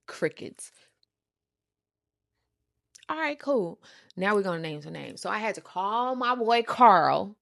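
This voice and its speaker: A woman with an American accent telling a story casually, with a sassy, animated, emphatic and slangy delivery. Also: medium pitch, creaky, no echo, studio quality. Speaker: storytime show host. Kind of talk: casual storytelling, acting out quoted conversations.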